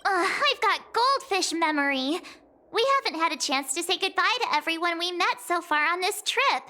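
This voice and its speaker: A woman's voice: very high voice, lively intonation, normal speed, bright, forceful tone, full character voice. A woman voicing a bubbly, scatterbrained, chatty teenage girl in a video game. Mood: perky.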